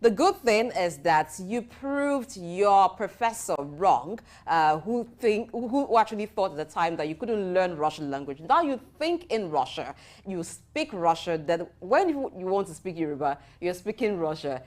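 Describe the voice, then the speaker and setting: A woman hosts a television interview, polite and formal. Her voice sits low for a woman, steady and composed. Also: unhurried. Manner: polite, formal sign-offs. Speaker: TV host. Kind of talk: broadcast interview hosting.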